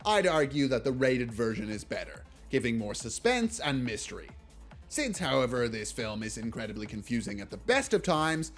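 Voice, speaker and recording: A man narrating a scripted video essay as a film reviewer, in a wry, casual, joking manner. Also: medium pitch.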